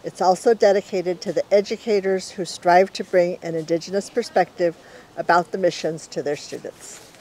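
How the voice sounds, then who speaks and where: A woman's voice: fairly low pitch, fairly even intonation, moderate pace, darkish tone, slight background noise, rough recording. A woman in her sixties with an American accent, reading a picture book aloud as its author. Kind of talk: storybook read-aloud. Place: outdoor walled courtyard in daylight.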